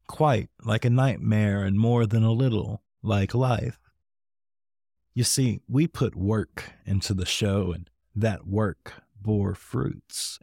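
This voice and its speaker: A man hosting a showcase, reading a scripted podcast introduction in a measured, theatrical manner. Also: fairly low pitch, creaky, darkish tone, no echo, studio quality. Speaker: showcase host.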